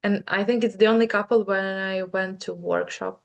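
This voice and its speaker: A woman in her twenties with a Ukrainian accent talks as a guest in a relaxed interview. She is a native Ukrainian speaker who pauses, restarts and reaches for her words, her pitch sitting in a middle range. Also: gravelly, highs cut off. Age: 20s. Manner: thoughtful, hesitant, many fillers and restarts. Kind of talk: casual interview chat.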